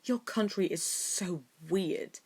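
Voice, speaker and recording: A woman's voice: mid-range voice, takes it slowly, a little mellow, slightly rough. A woman voicing a haughty, nosy schoolboy in a petulant, mocking way for a fantasy story. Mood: sarcastic, indifferent.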